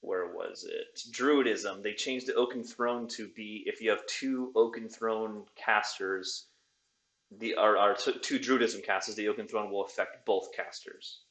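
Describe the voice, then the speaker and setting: A man with a lowish voice hosts a laid-back hobby chat, stopping and restarting often as he talks. His voice carries the echo of the room around him. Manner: relaxed, halting, with frequent fillers. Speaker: podcast host. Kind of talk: casual chat about a tabletop wargame. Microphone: new desktop microphone.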